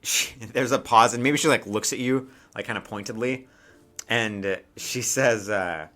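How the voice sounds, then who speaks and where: A man's voice: medium pitch, a little slow, sharp tone. A man working as game master, narrating a tabletop game in casual, improvised chat with asides and hesitations.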